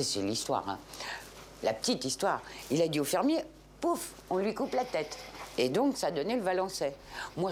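Woman cheesemonger, low, very lively intonation, storytelling, faint music under. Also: a little hiss or hum. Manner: storytelling, knowledgeable. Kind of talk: interview clip.

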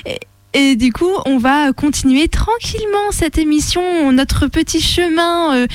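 A woman's voice: high voice, a little slow, no room sound. A woman hosting a radio show, presenting and reading scripted narration. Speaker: radio host. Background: music.

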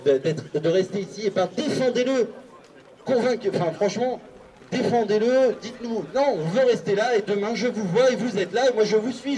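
A man's voice: high-pitched, dark tone, recorded from a distance, low-quality recording. A man giving an earnest, repetitive speech to an open-air assembly under a tarp. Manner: earnest, repeats himself. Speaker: speaker at a public assembly. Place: outdoor square under a tarp.

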